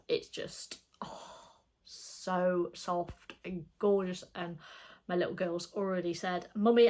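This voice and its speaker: Woman, thirties, stuffy with a cold, rambling casual vlog chat, soft-spoken, fillers. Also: fairly low pitch. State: bunged up with a cold. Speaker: flosstube vlogger. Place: her home.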